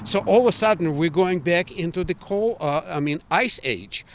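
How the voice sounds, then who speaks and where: A man's voice: high voice, moderate pace, a little hiss or hum. An older man from Ukraine with a Ukrainian accent, giving emphatic, earnest, opinionated answers as an interviewee. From Ukraine, former Soviet Union.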